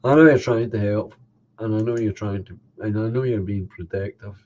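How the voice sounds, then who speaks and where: A man's voice: on the low side, steady tone, moderate pace, darkish tone, muffled recording. An older man with a nasal, slightly congested voice, chatting casually as a stream host and answering viewers' comments one by one. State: coughing, a bit congested. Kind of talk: casual chat.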